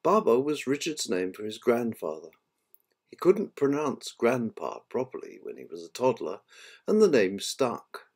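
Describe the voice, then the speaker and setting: An older man narrating a children's story from a book in a measured, amateur reading manner. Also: mid-range voice, wildly swooping pitch, a little mellow. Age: 60s or older. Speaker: author reading his own book.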